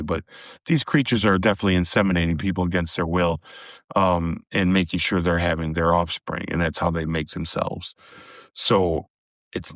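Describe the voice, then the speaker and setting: A man hosting a solo horror movie review podcast, chatty and casual, calling his listeners guys, his voice a little hoarse from a recent cold. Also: low voice, dry recording, clean studio sound. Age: adult with a daughter. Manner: chatty, addresses listeners as guys, many fillers. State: slightly hoarse, recovering from a cold.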